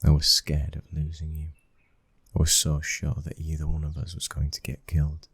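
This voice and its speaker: A man with a British accent acting as a brooding, protective young mafia boss's son in an intimate ASMR boyfriend roleplay, speaking in a husky, hushed, intense way that often nears a whisper. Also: a bass voice, completely flat delivery, normal speed, no echo. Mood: tender, vulnerable.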